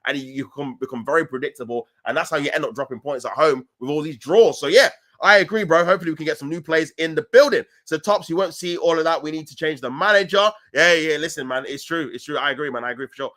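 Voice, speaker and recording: A man with a London accent hosting a football fan livestream, chatting casually and answering viewers in an animated, slangy, emphatic way. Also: fairly high pitch, fast, hardly any pauses. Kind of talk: casual live chat, reading and answering viewer comments.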